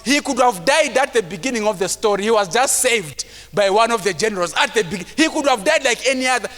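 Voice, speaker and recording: A man with a mild stammer giving a preachy motivational talk to a live audience at a conference, acting out timid voices and sometimes shouting to make a point. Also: high-pitched, lively intonation, fast talker. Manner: preachy, rising to shouts for emphasis; acts out timid voices of self-doubting people. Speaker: motivational speaker. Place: large conference hall. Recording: long hall reverb.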